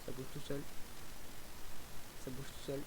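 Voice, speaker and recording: A young man casually commenting on a driving game, rambling with many fillers and restarts, sometimes whooping with excitement. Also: mid-range voice, measured pace, background noise. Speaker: gaming YouTuber.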